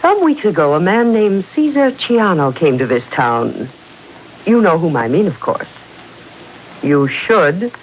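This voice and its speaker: An actress playing a mysterious, commanding woman with formal, deliberate speech in a 1940s radio serial. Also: fairly low pitch, big pitch swings, low-quality recording. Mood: cool, commanding. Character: mysterious, commanding woman who claims controlling powers. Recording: hissy 1940s radio broadcast.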